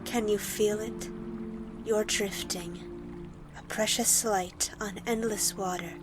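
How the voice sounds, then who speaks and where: An actress playing a calm, tender elf woman in an audio drama, speaking in a hushed, soothing, hypnotic manner. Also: medium pitch, slow, lightly acted. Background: music.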